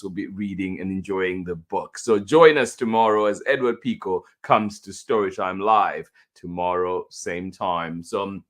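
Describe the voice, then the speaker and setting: A man hosting a children's story time livestream, effusively greeting and thanking his listeners in an unhurried, halting delivery. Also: on the low side, a bit bright. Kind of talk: live show hosting, greeting viewers.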